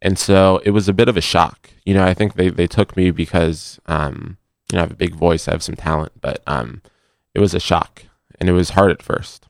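A young man, a cantor, answering interview questions in a halting way with restarts and a slight stutter. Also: sits low, steady tone, vocal fry, no echo, studio quality.

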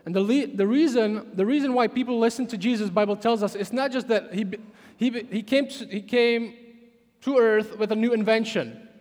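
Czech-accented preacher in a reverberant hall. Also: male voice, high voice, moderate pace. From Czech Republic. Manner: animated, emphatic preaching with many restarts. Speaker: pastor. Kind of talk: sermon.

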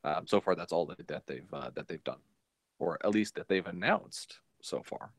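A man's voice: low voice, expressive, moderate pace, no echo. A man chatting casually as a podcast co-host, explaining things in a hesitant, self-correcting way. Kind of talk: casual chat.

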